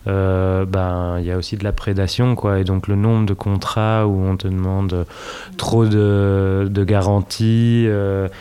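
A man with a very low, warm and mellow voice explains things in a measured way during a conversation. He hesitates often, filling pauses as he works through his points. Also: flat delivery, clear, resonant tone. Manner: measured, explanatory, full of fillers. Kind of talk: casual conversation.

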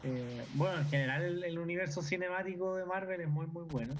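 A man's voice: on the high side, brisk, slight background noise. A man chatting on a podcast, enthusiastic and opinionated about what he watches. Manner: enthusiastic, opinionated fan talk. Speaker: podcast co-host. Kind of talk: casual podcast chat.

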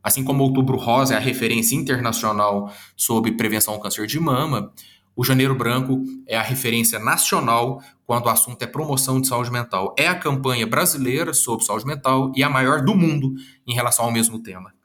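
A man's voice: mid-range voice, fairly quick, fairly bright tone. Earnest man with a Minas Gerais accent. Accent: Minas Gerais Brazilian Portuguese. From Minas Gerais, Brazil. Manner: earnest, listing words in long runs. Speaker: guest, psychologist and speaker. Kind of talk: interview answers, speech-like.

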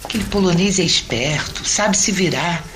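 Circus dancer female actor, Brazilian, outspoken and hopeful, lowish voice, faint music under colloquial drama dialogue. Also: normal speed, natural voice. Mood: spirited, hopeful.

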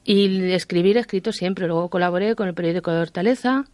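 A woman's voice: lowish voice, fast talker, darkish tone, dry recording. A woman from Madrid with a Madrid accent, a guest author chatting in a radio interview and acting out the voices she quotes. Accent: Madrid Spanish. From Madrid, Spain.

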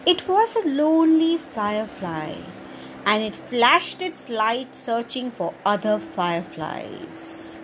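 A woman with a high, very expressive voice that swoops up and down as she reads a picture book to children. She takes her time, in a slow, sing-song storytime manner, with faint noise in the background. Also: low-quality recording. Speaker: children's storyteller podcast host.

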